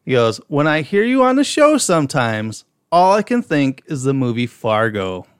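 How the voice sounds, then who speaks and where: A man's voice: mid-range voice, huge pitch swings, moderate pace, clean tone, dry recording, studio quality. Upper Midwest man, casual podcast host. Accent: Upper Midwest American. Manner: storytelling, reads out messages, profane.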